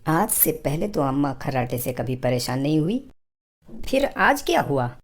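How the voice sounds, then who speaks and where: An actor voicing a young, henpecked husband and son in an animated family comedy, pleading and submissive in manner. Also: male voice, a little high, normal speed. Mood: resigned. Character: henpecked, placating grown son caught between mother and wife. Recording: music bed under the voice.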